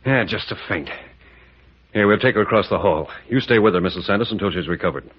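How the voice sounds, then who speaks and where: An actor in an old radio drama playing a tough, decisive, suspicious captain with clipped, urgent, plain-spoken delivery. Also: male voice, lowish voice, lively intonation, fast, slight background noise, lightly acted. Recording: muffled old radio recording.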